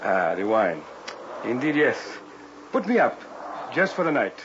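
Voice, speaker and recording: An actor playing a pompous, sceptical French police inspector in a theatrical, formal old-time radio style. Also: male voice, mid-range voice, big pitch swings, a little slow. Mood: condescending. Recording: dull, noisy vintage radio recording.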